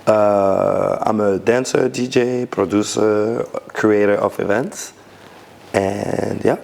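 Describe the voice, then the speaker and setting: Man, low voice, gravelly, rambling restarts, casual interview. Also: steady tone, slow, darkish tone, a little hiss or hum. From Senegal, raised in France. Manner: loose, searching phrasing with many restarts. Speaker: dancer, DJ and event founder.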